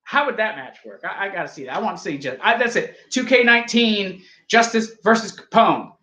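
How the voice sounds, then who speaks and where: High, lively man reviewing wrestling. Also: reverberant. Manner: rambling, joking, quick to argue. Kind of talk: casual live review chat.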